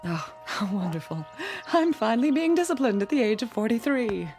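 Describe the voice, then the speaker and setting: An actress playing a bitter, haunted, hard-drinking woman in her forties in a radio drama, theatrical and sardonic with a husky voice. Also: on the high side, sing-song, brisk, a little mellow. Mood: bitter, sarcastic. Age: 40s. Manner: theatrical, sardonic, self-pitying. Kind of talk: old-time radio drama acting. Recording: radio drama with music underneath.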